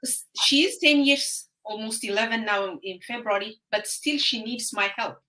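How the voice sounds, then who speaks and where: Woman from Ethiopia, 30s, bright clear tone, halting, video call. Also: on the high side, rough recording. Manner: hesitant, halting, frequent uh fillers. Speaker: guest. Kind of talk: casual live-stream interview.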